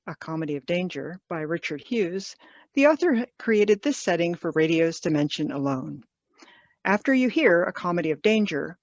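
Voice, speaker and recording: A woman announcer giving a formal, scripted introduction to radio plays in a measured, declarative manner. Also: lowish voice, dry recording. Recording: dry, slightly dull lo-fi audio.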